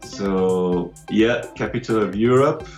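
A man's voice: lowish voice, slow, a little mellow, echoey room, soft background music. A man in his late forties from Belgium, a DJ and producer chatting loosely in an interview, full of restarts and chuckles. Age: 40s. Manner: rambling, many restarts, chuckles often. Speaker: DJ and producer guest. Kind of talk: casual interview chat.

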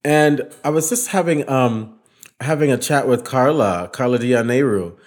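A man's voice: medium pitch, normal speed. A man hosting an educational podcast, talking with enthusiasm and often repeating himself. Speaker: podcast host, voice teacher. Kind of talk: casual podcast chat.

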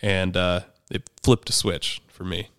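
A man's voice: low-pitched, flat delivery, measured pace, clean tone, dry recording, studio quality. A man talking candidly and conversationally as a guest, recounting his own experiences. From United States. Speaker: podcast guest, parent activist.

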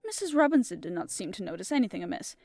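A woman reading aloud and voicing a precise, formal older male detective with a deliberate manner and a tried French accent. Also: medium pitch, very animated, a little mellow, no echo, faint music behind.

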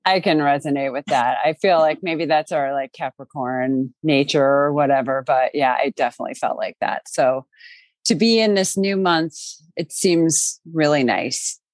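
A woman hosting a podcast, chatting in a calm, reflective way. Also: low voice, normal speed, no echo. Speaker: podcast host. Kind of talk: casual chat.

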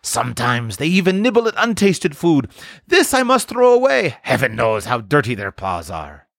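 Guy doing a fussy complaining storybook woman, pitched way up, super swoopy. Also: huge pitch swings, normal speed, creaky, a bit bright, no echo, clean studio sound, heavily acted. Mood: indignant. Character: indignant, disgusted French housewife, complaining about mice.

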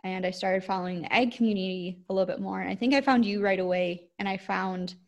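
A woman's voice: fast talker, vocal fry. A woman, co-hosting a podcast in a rambling, casual way with many fillers. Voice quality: squeaky voice. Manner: rambling, lots of "like" and "um". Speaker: podcast co-host, cranberry grower. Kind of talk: casual chat.

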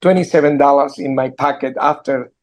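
A man in his sixties with an Argentine Spanish accent, a guest entrepreneur on a video call, telling his story earnestly with halting restarts. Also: medium pitch, steady tone, darkish tone. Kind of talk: storytelling interview. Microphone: computer mic on a video call.

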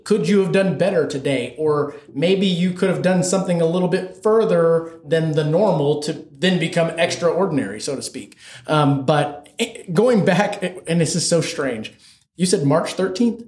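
An upbeat, chatty man hosting a relaxed interview, his voice a bit higher than most. The room around him rings with echo. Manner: upbeat, chatty, eager to agree. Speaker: host. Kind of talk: casual interview talk. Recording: echoey room.

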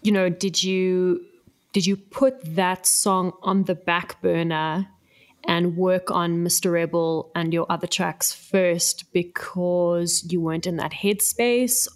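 A woman interviewer with a fairly low voice asks long, thoughtful questions at a measured, unhurried pace. She is calm and deliberate as she frames each question.

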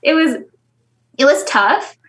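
A young woman from the Philippines whose first language is Filipino talks openly as an interview guest. Her voice sits a little high. She is chatty and candid, and she laughs often as she speaks. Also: animated, slow, a bit bright. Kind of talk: casual interview conversation.

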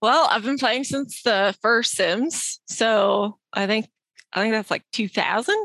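A woman in her late twenties talks as a guest on a video call interview. She sounds relaxed and easygoing, and she laughs easily. Her voice comes through dry and close, with no room sound. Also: a little high, measured pace, dry recording. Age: 20s.

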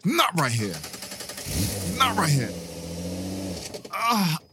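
A man's voice: sits high, wildly swooping pitch, extremely slow, background noise, full character voice. An actor playing a brash, foul-mouthed man stranded at night in an audio drama, talking in emphatic, repetitive slang. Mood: panicked. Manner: slangy, profane, emphatic, repeats himself. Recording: loud music bed behind the voice.

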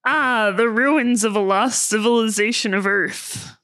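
A grandiose, solemn archaeologist played by a woman in a comedy sketch. The delivery is slow and theatrical, declaiming archaic phrases over ancient finds. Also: a little high, fairly bright tone. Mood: solemn, lamenting. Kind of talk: sketch comedy table read.

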